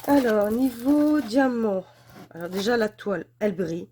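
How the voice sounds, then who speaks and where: A woman's voice: on the high side, animated, moderate pace, mellow, slight background noise. Chatty woman with a head cold. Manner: chatty, gushing, filler-heavy. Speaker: crafts channel host. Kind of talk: casual unboxing chat.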